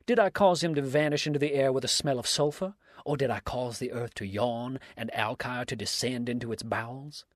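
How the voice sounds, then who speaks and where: A man's voice: medium pitch, expressive, normal speed, a little mellow, no echo, natural voice. Guy doing a sneering murder suspect from an old story, sarcastic and mocking, big theatrical exclamations, really dry sound. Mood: mocking, loud. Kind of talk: dramatic storybook reading.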